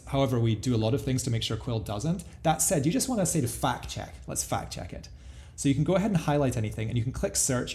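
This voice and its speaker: A man giving a casual, explanatory product walkthrough as a software demo presenter. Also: mid-range voice, gravelly.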